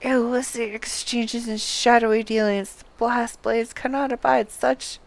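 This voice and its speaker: A stern, righteous soldier from a fantasy game, voiced by a woman. The delivery is formal and declamatory, unhurried, with a creaky edge. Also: on the high side, fairly even intonation. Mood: resolute, grave.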